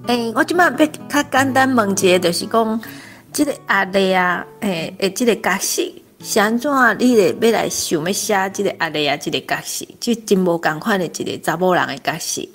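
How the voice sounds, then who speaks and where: Halting Taiwanese woman, medium pitch. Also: faint music behind. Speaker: discussion participant asking a question. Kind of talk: casual discussion.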